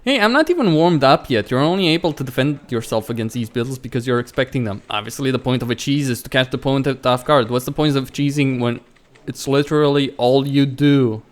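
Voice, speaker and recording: A man's voice: mid-range voice, a little fast. A man hosting a let's play, reading visual novel dialogue aloud and adding casual commentary, stumbling and correcting himself as he goes. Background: game music.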